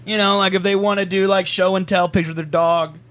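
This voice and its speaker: A man's voice: high voice, steady tone, brisk, a bit bright. A man co-hosting a podcast, chatting casually and teasing his co-host in a joking way. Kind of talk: casual banter.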